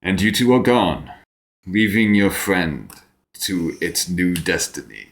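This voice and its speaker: A man narrating a tabletop fantasy game as game master, deliberately and with dramatic pauses. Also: deep voice, animated.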